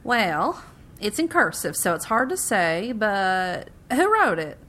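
A woman's voice: mid-range voice, big pitch swings, slow pace, fairly bright tone, exaggerated voice. A woman playing a folksy, kindly housekeeper with a doting, hesitant manner, in old-time radio comedy style. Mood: puzzled.

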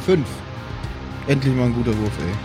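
A man's voice: mid-range voice, lively intonation, normal speed. A man chatting casually as a player in an improvised tabletop roleplaying game. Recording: music behind the voice. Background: background music.

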